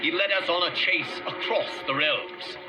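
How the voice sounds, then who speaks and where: An actor playing a solemn, weary godlike guardian of the timelines, narrating game cutscenes in a grave, formal, measured way. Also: male voice, fairly high pitch, lightly acted. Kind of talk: scripted dramatic cutscene narration. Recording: loud music over the voice. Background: loud game music.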